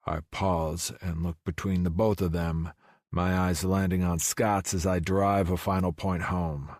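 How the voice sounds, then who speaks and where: A man's voice: low voice, flat delivery, a little slow, a little mellow, no echo, clean studio sound. A man narrating a romance audiobook in the first person, in a brooding, terse manner.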